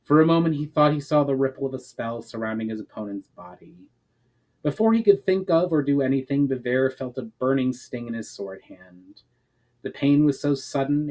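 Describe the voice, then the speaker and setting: A man's voice: mid-range voice, a little mellow, low-quality recording. Man reading fantasy fiction aloud. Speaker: author reading own story chapter aloud.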